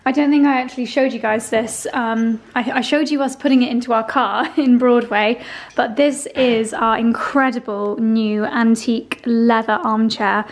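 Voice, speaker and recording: A woman's voice: fairly high pitch, steady tone, normal speed, a little hiss or hum. A woman vlogging casually, effusive and gushing about home and garden. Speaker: lifestyle vlogger. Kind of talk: casual vlog.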